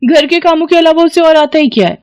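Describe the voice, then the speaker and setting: A woman puts on a spoiled young storybook sister, haughty and whiny. The voice is pitched up and snappish, recorded dry and close. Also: quick pace, darkish tone, dry recording, natural voice. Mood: whiny, defiant.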